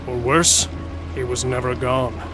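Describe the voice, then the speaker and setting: A man playing an irreverent smith and lore keeper explaining dark history in a fantasy audio drama. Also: medium pitch, level tone, measured pace, over music, natural voice. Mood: grave, explaining.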